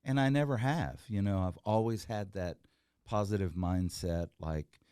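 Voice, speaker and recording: A man's voice: lowish voice, measured pace, warm tone, clear, resonant tone, no room sound, studio quality. A middle-aged man, a guest talking casually and earnestly, rambling with fillers and restarts. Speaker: guest, entrepreneur. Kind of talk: casual interview chat.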